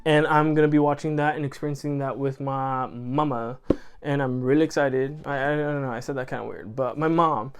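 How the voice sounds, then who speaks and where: A man's voice: mid-range voice, fairly even intonation, fairly quick, smooth. A man who grew up speaking Spanish, talking to camera in a rambling, earnest, effusive way and correcting himself as he goes. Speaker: channel host. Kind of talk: casual chat to camera.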